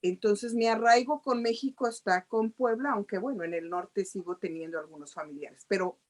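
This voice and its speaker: A woman's voice: medium pitch, normal speed. Middle-aged Mexican woman, guest on a video call, chatty and explanatory, Mexican Spanish accent. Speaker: guest journalist. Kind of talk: interview answers.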